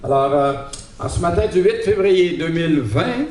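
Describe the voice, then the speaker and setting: A man's voice: a little high, expressive, normal speed, a little mellow. A man hosting an event, introducing the next speaker informally and stumbling over a name. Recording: echoey room, distant mic.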